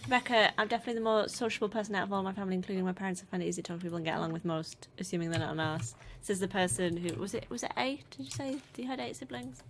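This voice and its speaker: A woman's voice: medium pitch, fairly even intonation, racing, hardly any pauses. A young woman chats casually on a livestream and reads out viewer messages, laughing often. She grew up in the north of England. Faint keyboard clicks and noise sit behind her. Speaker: co-host reading chat.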